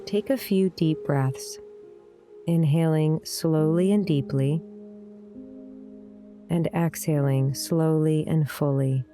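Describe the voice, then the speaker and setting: Low, mellow woman guiding a meditation. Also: steady tone. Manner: gentle, soothing, unhurried delivery with deliberate pauses. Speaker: meditation guide, yoga teacher. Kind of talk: guided meditation, scripted narration. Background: soft instrumental music.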